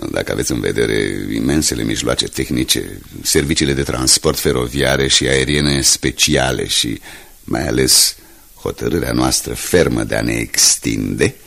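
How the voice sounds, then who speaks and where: An actor playing a formal, insistent newspaper envoy in a radio drama, with a gravelly, husky voice. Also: male voice, rumbling low, fairly bright tone, exaggerated voice. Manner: formal, smoothly insistent business speech. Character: courteous, determined male newspaper group envoy.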